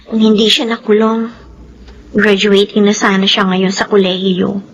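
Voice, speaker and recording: A woman's voice: mid-range voice, little pitch movement, moderate pace, muffled recording, a little hiss or hum, close to an everyday voice. A woman playing a polite, earnest young student in a Filipino radio drama, speaking respectfully. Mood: concerned. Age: young adult. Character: polite, grateful young student, worried for her brother.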